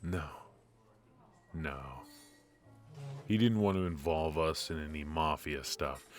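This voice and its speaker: Casting: male actor as brooding noir mafia boss, extremely low voice, weary and confiding, unhurried delivery for intimate roleplay audio. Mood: mournful. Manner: measured, weary, confiding, with heavy pauses.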